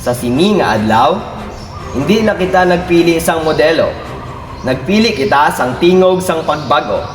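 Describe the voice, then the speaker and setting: A man's voice: fairly high pitch, expressive, moderate pace, music in the background, lightly acted. A man with a Filipino accent acting a grieving, earnest father who heads a modeling agency in an amateur radio drama. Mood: proud.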